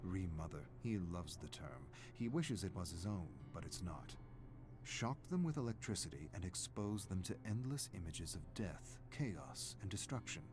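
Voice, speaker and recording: Deep, brooding horror narrator. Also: male voice, animated, moderate pace, background noise. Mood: brooding, menacing. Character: brooding, menacing male narrator of a sadistic doctor's thoughts. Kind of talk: dark scripted horror story narration. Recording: music playing behind the voice.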